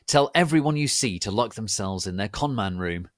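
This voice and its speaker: A man's voice: lowish voice, lively intonation, moderate pace, no room sound, studio quality, close to an everyday voice. A man voicing a worried adult female witch professor in an earnest, urgent manner.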